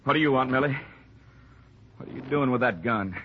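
A man's voice: mid-range voice, fairly quick, natural voice. An actor playing a grim American rancher in a 1950s radio drama, curt and menacing in his speech. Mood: wary. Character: grim, agitated gun-wielding rancher, refusing a woman's pleas. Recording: noisy, muffled vintage radio recording.

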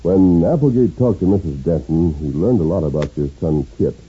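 An actor playing a steadfast masked western hero, with a deep husky American voice, in a 1950s radio drama. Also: male voice, normal speed. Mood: earnest, purposeful. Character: steadfast masked western hero, grown man, earnest and commanding. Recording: dull 1950s radio recording.

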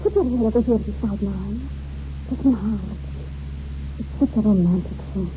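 A woman's voice: mid-range voice, moderate pace, lightly acted. An actress playing a romantic, caring and exasperated young woman in a 1940s radio drama. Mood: dreamy. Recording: muffled, noisy 1940s radio broadcast.

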